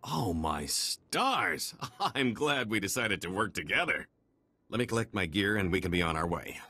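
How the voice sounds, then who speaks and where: A slippery, boastful wheeler-dealer on the run from a video game. His voice is deep and husky, and it swings widely as he pours on theatrical, wheedling patter like a born salesman. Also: sing-song, moderate pace, pushed and bright, no room sound, heavily acted. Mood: upbeat, slick. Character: slippery middle-aged male con-artist fugitive, boastful wheeler-dealer.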